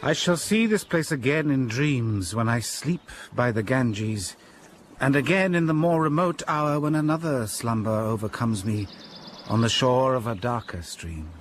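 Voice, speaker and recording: A man's voice: mid-range voice, expressive, a little hiss or hum, natural voice. A man playing a stern, cold young clergyman. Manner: formal, measured and reserved. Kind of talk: radio drama.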